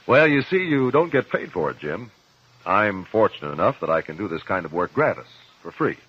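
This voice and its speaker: An actor in a 1950s radio drama playing a principled cross-examiner, measured, articulate and pressing. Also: male voice, lowish voice, big pitch swings, husky. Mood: calm, explanatory. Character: probing, principled male courtroom cross-examiner. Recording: muffled vintage 1950s radio recording.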